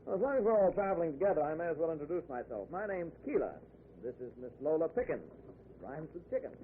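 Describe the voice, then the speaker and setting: A man's voice: on the high side, big pitch swings, fast. Tinny noisy old radio recording, breezy chatty male motorist, swooping intonation, friendly and nosy, 1940s radio acting. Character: chatty, friendly middle-class man driving at night.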